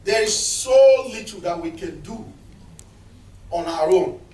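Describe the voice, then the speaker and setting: A man's voice: high-pitched, a little slow. A man on a film panel, passionately appealing to the audience for support. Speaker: filmmaker on the panel. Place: cinema auditorium. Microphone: point-and-shoot camera across the room. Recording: echoey hall, distant camera mic.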